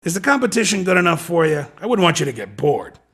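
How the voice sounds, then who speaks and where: A young, cocky hoverboard race promoter for a video game. His high voice crackles with gravelly fry and moves around in lively swings. He talks in upbeat, slangy sales patter. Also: fast, exaggerated voice. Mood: persuasive, encouraging. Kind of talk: scripted video game character dialogue.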